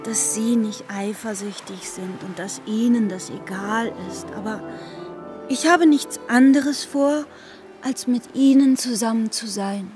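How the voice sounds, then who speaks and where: A woman's voice: fairly high pitch, unhurried, music in the background, lightly acted. An actress playing an evasive, defiant young female lover in a literary radio play, speaking in a casual, dismissive manner. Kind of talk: literary radio drama acting.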